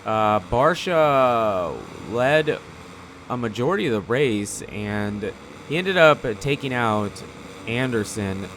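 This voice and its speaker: A man's voice: medium pitch, animated, slow, a bit bright, slight background noise. A man giving casual gameplay commentary in a rambling, conversational, slangy way. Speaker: gaming video commentator.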